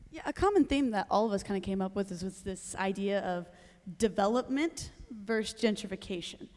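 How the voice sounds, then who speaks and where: Audience woman summarizing group talk, casual and quick, echoing room. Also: medium pitch, expressive, darkish tone. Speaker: audience participant. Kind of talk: reporting back from a table discussion. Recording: long reverb of a large hall.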